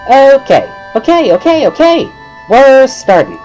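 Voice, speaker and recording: A woman's voice: sits high, huge pitch swings, a little slow, a little mellow, soft background music, voice pushed up, full character voice, low-quality recording. A woman voicing a bubbly, pushy schoolgirl tutor with perky, giggly, emphatic speech in a visual novel reading. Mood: eager, flustered. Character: bubbly, pushy schoolgirl, earnest amateur sign language tutor.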